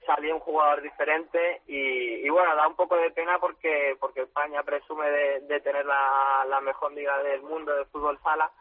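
A young Spanish man in his twenties, a futsal player giving a modest, relaxed phone interview with frequent restarts. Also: fairly high pitch. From Spain. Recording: tinny phone line with faint noise.

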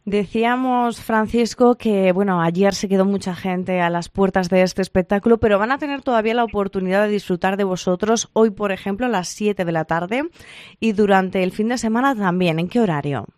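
A woman hosting a radio program, announcing in a clear, upbeat way. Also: mid-range voice, moderate pace, darkish tone, no echo, studio quality. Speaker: radio host. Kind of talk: radio presenting. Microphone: studio mic.

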